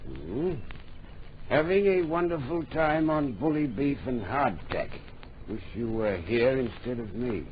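An actor playing an unhurried, amused ship's captain in a radio drama, with musing, easygoing authority. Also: male voice, medium pitch, expressive, close to an everyday voice. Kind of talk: old radio drama acting. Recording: dull old radio recording, faint noise.

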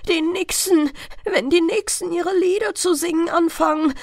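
A woman's voice: very high-pitched, normal speed, dry recording, clean studio sound, pitched above the performer's own voice. A woman voicing a frightened old fisherman father who urgently warns his child in a storybook tale. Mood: alarmed, urgent. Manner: urgent, exclaiming.

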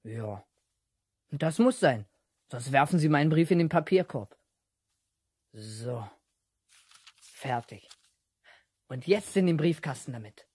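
A man's voice: a little high, lively intonation, slow, long pauses, a little mellow, slightly rough, dry recording, clean studio sound, heavily acted. A male actor playing an earnest, self-important boy detective in a 1970s children's radio play. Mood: eager, earnest.

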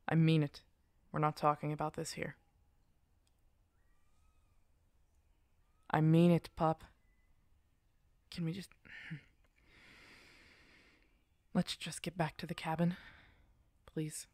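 A guarded female werewolf, a weary outcast alpha in an audio drama, acted by a woman. Her voice sits low and crackles with fry. She speaks slowly, in short hesitant phrases. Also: dry recording, studio quality. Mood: firm, urgent. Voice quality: creaky. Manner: slow, hesitant, terse. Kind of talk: ASMR audio drama acting.

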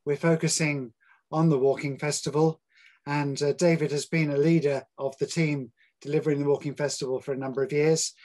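A man's voice: a little high, level tone, a little fast, darkish tone. An older man introducing a community programme and its guests in a plain, friendly presenting manner over a webcam call. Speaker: programme host and interviewer. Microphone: webcam video call.

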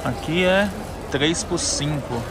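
Brazilian guy vlogging at an outdoor market, kinda high voice, chatty n casual, noisy street behind him. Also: takes it slowly. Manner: chatty, informal, addressing viewers directly. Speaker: vlogger showing a plant market. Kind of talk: casual walk-through video narration.

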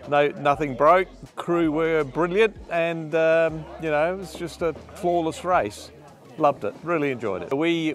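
An older man, a yacht owner talking upbeat and halting after a race, outdoors at a marina at night on a lapel mic. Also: medium pitch. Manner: relaxed, upbeat, halting with fillers. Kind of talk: post-race interview.

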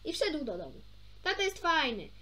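High-pitched, lively young woman reading aloud. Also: moderate pace. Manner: informal, stumbles over words and self-corrects. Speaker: amateur storybook reader. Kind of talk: expressive read-aloud of a children's story with chatty asides.

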